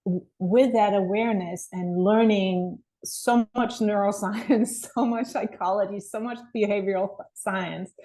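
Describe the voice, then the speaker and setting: A woman's voice: fairly low pitch, dark tone, low-quality recording. A middle-aged woman from Denmark, a mindset coach, telling her story earnestly as a podcast guest. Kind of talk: podcast interview.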